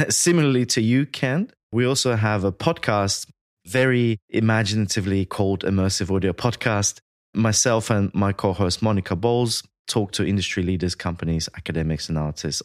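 A man in his thirties, raised in Latvia, answers interview questions in a measured, explanatory way. His voice sits fairly low. The recording is dry and close. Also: no echo. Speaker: sound designer, guest.

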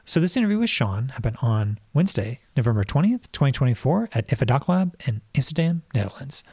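A man's voice: mid-range voice, very animated, fast talker, darkish tone, slightly rough, dry recording. A man hosting a podcast, introducing the episode in a crackly voice he is losing, explaining with casual fillers. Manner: explanatory, uses fillers like "like" and "kind of". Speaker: podcast host.

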